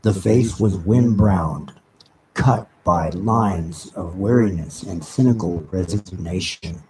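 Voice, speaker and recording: A man reading a novel aloud live as dramatic narration, with deliberate, halting phrasing. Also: low-pitched, a little mellow. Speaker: reader of an audiobook. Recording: noisy amateur live recording with background ambience. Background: background ambient sounds.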